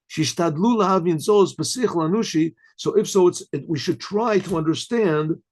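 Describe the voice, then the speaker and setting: A man teaching an informal Torah class as a rabbi, talking in a conversational way with rhetorical questions and often clearing his throat. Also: fairly high pitch, a little mellow.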